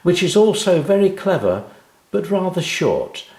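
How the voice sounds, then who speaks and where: A man voicing a kindly, encouraging female schoolteacher in a children's story, measured and reassuring. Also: high-pitched, big pitch swings, a little mellow, reverberant, voice pushed up, full character voice.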